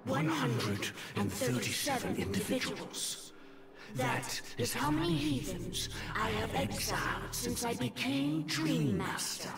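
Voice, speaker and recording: Casting: pompous dream overlord for a game, widely swooping delivery, unhurried, echoing over loud music. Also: female voice, a little high, heavily acted. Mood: menacing. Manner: slow, solemn, pompous. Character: grandiose, menacing cult-like hive-mind Dream Master speaking through others. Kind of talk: scripted video game acting.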